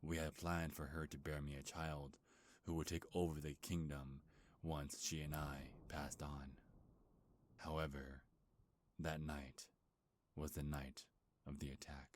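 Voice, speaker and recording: A man's voice: extremely low pitch, little pitch movement, measured pace, pauses often. A man playing an ancient, bitter, grieving beast king in a dramatic ASMR roleplay, brooding and menacing with heavy sighs. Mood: grieving, regretful.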